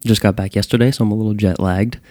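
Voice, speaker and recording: A man's voice: fairly low pitch, fairly even intonation, fast, warm tone, dry recording. A young man from New Jersey, a band frontman chatting as a guest, a little tired, earnest and self-deprecating with frequent small restarts. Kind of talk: casual interview chat.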